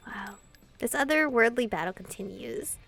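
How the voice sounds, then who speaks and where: A woman with a fairly high voice and a clearly creaky edge does a casual let's-play. She reads game narration aloud and slips into giggly, chatty asides, with faint music behind her. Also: lively intonation, moderate pace. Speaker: gaming streamer reading a visual novel aloud.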